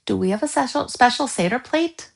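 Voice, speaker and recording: A woman's voice: a little high, lively intonation, brisk, gravelly, pitched above the performer's own voice. A woman voicing a bubbly, eager young schoolgirl in a children's storybook. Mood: keen.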